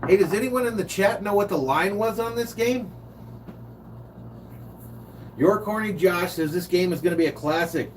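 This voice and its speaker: A man's voice: sits high, moderate pace, long pauses. Chatty male stream host, distant mic. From California. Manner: chatty, reads viewer comments aloud. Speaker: live-stream play-by-play host. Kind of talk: casual play-by-play and chat reading. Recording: distant mic with faint noise.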